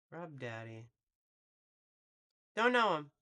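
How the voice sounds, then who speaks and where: A woman with a really deep voice, casually commentating a live game stream and chatting with viewers in a rambling, profane way. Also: big pitch swings, takes it slowly, sharp tone. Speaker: VTuber streamer.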